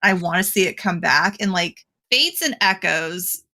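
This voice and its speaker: A woman on a casual podcast, talking enthusiastically about the games she is playing, rushing ahead in quick bursts. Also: on the low side, lively intonation, pushed and bright. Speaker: podcast co-host.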